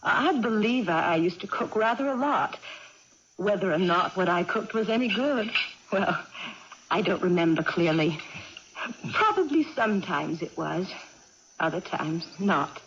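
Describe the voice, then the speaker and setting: An actress playing a gentle, wistful aging aunt who keeps house, speaking in a homely, hesitant way in a 1980s radio drama. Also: female voice, fairly low pitch, animated, a little slow. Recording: muffled, noisy vintage radio broadcast.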